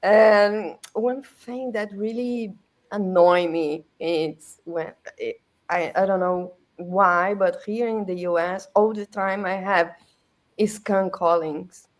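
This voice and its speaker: A woman's voice: medium pitch, fairly even intonation, takes it slowly. Brazilian woman chatting playfully. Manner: hesitant phrasing, playful. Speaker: podcast guest. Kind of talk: casual chat.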